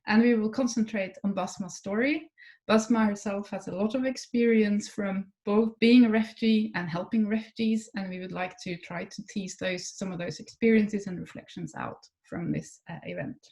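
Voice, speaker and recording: A woman professor hosting a public conversation, asking questions gently and hesitantly with many fillers. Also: medium pitch, steady tone, moderate pace. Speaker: professor and interviewer.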